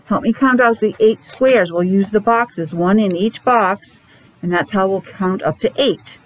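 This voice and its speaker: A middle-aged woman teaching young children in simple, deliberate phrases with chatty asides, speaking through a face mask. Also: mid-range voice, poor audio. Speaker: preschool teacher. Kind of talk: children's classroom lesson. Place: small indoor classroom.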